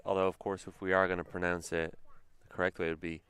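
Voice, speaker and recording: Deep-voiced easygoing soccer commentator man. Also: little pitch movement, fast, a bit bright, clean tone, no room sound. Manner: easygoing, chatty, self-correcting. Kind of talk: play-by-play commentary.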